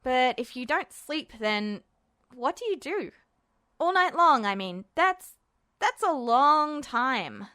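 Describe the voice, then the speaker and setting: A woman's voice: sits high, very animated, takes it slowly, sharp tone, dry recording, clean studio sound, exaggerated voice. Woman as wry young older brother, Ed. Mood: curious, puzzled.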